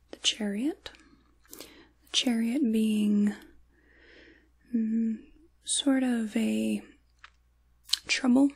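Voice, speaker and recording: A woman playing a kindly, caring witch in a soft, intimate ASMR tarot roleplay, largely whispered, with a gentle, reassuring manner. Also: on the high side, creaky, slight background noise, heavily acted. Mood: gently mystical.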